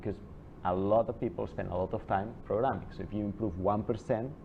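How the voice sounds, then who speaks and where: A man giving an informal classroom lecture, chatty and digressive, with many halting restarts and asides. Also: fairly low pitch, steady tone, vocal fry, slight background noise. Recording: dull lo-fi classroom recording.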